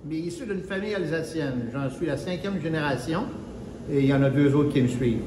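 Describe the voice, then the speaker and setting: A man's voice: fairly high pitch, a little fast, echoey room, in a large hall, music in the background, rough recording. A man from Quebec answering interview questions in a chatty, loose way, searching for words. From Quebec, Canada.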